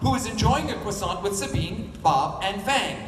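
Animated woman narrator in an echoey hall. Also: mid-range voice, normal speed. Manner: animated, expressive. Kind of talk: scripted narration at a live table read. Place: large convention panel room. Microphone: panel mics heard from a distant audience camera. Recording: echoey hall, distant, noisy audience recording.

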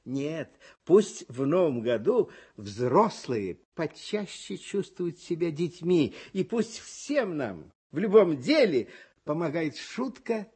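A man acting as a kindly, playfully earnest mentor hosting a children's radio comedy, theatrical and expressive. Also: a little high, slow pace, slightly rough, full character voice. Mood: excited. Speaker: host of a children's radio show.

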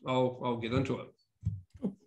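A young man from New Zealand, his accent softened by years in Australia, giving a chatty online conference talk over a video call from a room indoors. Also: mid-range voice, lively intonation, takes it slowly, rough recording. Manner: casual, chatty, restarts words often, laughs at himself. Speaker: conference speaker. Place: room with a whiteboard and brick wall, indoors. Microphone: video call webcam.

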